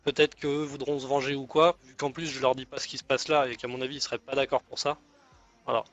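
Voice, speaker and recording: A man's voice: medium pitch, level tone, quick pace, fairly bright tone, close to an everyday voice. A man playing a deferential gang negotiator in an online roleplay, speaking in a hesitant, rambling, conciliatory way. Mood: hesitant, bargaining. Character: deferential male gang negotiator offering an alliance. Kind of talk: improvised online roleplay dialogue. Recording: muffled lo-fi game voice chat.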